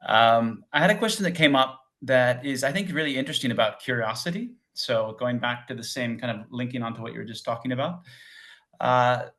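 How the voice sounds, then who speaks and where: An American man in his forties or fifties puts a question from the audience. His voice sits at a middle pitch for a man, and he wanders through the question with many ums and uhs. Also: fairly quick, a bit bright. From United States. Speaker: audience member asking a question. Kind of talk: question from the floor.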